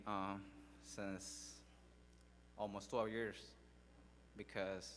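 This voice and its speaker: Halting man. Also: fairly low pitch, a bit bright, in a large hall. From Mexico. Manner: halting, with many fillers and pauses. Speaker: speaker sharing his life story. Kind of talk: personal testimony speech. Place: large hall at a public event.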